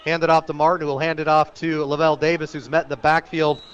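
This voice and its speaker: Male radio play-by-play sportscaster, fairly high-pitched, clipped descriptive delivery for live high school football. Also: fast, smooth, lo-fi sound. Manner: clipped descriptive phrases, excited on big plays. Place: outdoor stadium, live radio broadcast.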